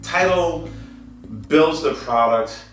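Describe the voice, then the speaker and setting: A man giving an informal product presentation as a dealer, speaking in a deliberate, halting way with repeated phrases. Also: a little high, fairly bright tone. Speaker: audio dealer presenting loudspeakers. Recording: roomy, distant mic, faint music behind.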